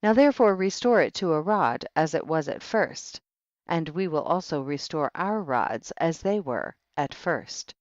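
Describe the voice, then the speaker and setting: A man giving a solemn, measured audiobook narration of old scripture in archaic wording. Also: fairly high pitch, animated, no echo, dull audio. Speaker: audiobook reader.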